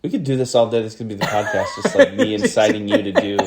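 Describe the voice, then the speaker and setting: A man's voice: on the low side, sing-song, fast talker, a crowd in the background. A man from northern Ohio with a General American accent, hosting a casual podcast conversation and talking in a hesitant way, with many fillers and restarts. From northern Ohio, USA. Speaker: podcast host.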